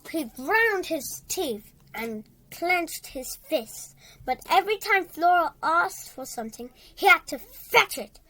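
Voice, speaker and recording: A child reading a storybook aloud with dramatic emphasis, halting and repeating words along the way. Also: extremely high pitch, pushed and bright, low-quality recording.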